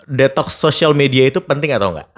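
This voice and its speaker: A man's voice: medium pitch, animated, fairly quick. A man with a Jakarta Indonesian accent hosting a podcast in casual, slangy interview chat. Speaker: podcast host.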